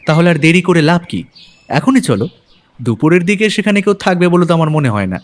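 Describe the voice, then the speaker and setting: A man playing a detective's curious male companion in an audio drama, asking questions in an eager, animated way. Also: fairly high pitch, a little fast, voice pushed up. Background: background music.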